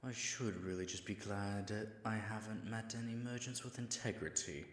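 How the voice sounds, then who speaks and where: A man's voice: on the low side, moderate pace, echoey room, close to an everyday voice. A man acting as an all-powerful, self-conscious dragon in disguise, muttering dry, sardonic asides to himself in a scripted audio roleplay. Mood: grumbling.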